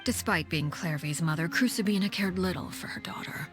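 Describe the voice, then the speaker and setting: An actress playing a cold, menacing woman leader in a video game, speaking in a measured, formal, commanding way with a breathy hush. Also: fairly low pitch, music in the background, lightly acted. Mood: grave, detached. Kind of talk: scripted video game voice acting.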